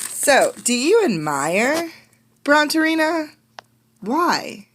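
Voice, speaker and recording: A woman teacher reading a storybook aloud to children, expressive and instructive, often putting questions to the listeners. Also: sits high, very slow, a little hiss or hum.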